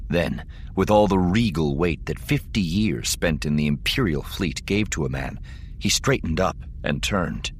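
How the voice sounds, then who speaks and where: A man's voice: low voice, lively intonation, unhurried, slight background noise. A man reading dramatic scripted fiction as an audiobook narrator.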